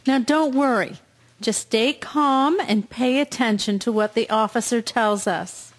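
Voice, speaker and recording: A woman playing a dutiful, steady army nurse in a radio drama, speaking in a composed and formal way. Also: on the high side, unhurried, close to an everyday voice. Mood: calm.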